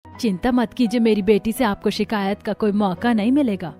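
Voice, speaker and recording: A woman's voice: mid-range voice, moderate pace, mellow, soft background music, natural voice. A woman voicing chatty, opinionated women of an Indian household in a cartoon family story. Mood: polite.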